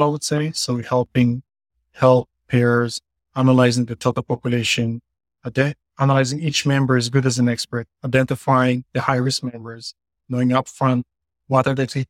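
A man's voice: medium pitch, dry recording. A man from Israel, a company founder, explaining his work earnestly with many "uh" fillers over a remote call. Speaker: company CEO guest. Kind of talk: podcast interview.

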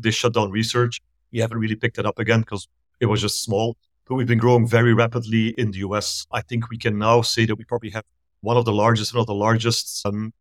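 A middle-aged man from Belgium, a startup founder explaining his business in a measured, thorough way during a remote podcast interview. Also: on the low side, no echo. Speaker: guest, startup founder and CEO.

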